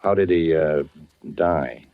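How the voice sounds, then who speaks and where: A man's voice: rumbling low, takes it slowly, clean tone, full character voice. An actor playing a curious, polite film-maker in a 1940s radio drama, hesitant yet casually persistent in his questions. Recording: dull, lo-fi 1940s radio broadcast.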